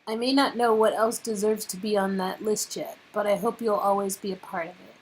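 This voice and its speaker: A woman's voice: mid-range voice, steady tone, fairly quick, close to an everyday voice. Love interest for a romance game read by a woman: young, affectionate, hesitant, medium register, faintly noisy recording. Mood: tender. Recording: clear background noise.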